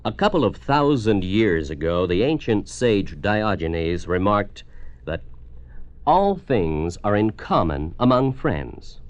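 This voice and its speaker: American man, low voice, swooping oratorical delivery, solemn 1950s radio announcer, scripted, dull old recording. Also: sing-song, takes it slowly, faint music behind.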